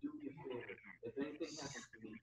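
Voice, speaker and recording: A man acting a vain, slow-witted male supermodel, solemn, subdued and melodramatic, in a table read over a video call. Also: medium pitch, steady tone, very noisy, close to an everyday voice. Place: home, remote video call. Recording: muffled, lo-fi video call audio.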